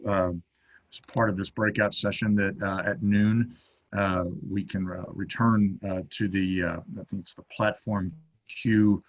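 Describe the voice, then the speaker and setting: Man, panel moderator, little pitch movement, halting, polite, slightly muffled video call recording. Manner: halting, tentative, courteous. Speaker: session moderator. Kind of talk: moderating an online panel discussion.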